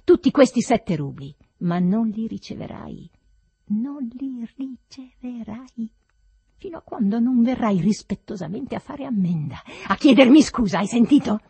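Storybook master, male, tormented and furious woman performs him: husky, gravelly, frantic shouts, obsessive repetition. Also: a little high, animated, measured pace, no echo, pitched above the performer's own voice, full character voice. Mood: enraged, tormented. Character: furious man, tormented master raging at his servant.

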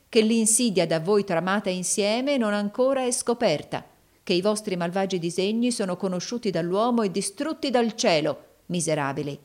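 A woman voicing a righteous, defiant young gentleman in an audiobook novel, emphatic, declamatory and commanding. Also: mid-range voice, natural voice.

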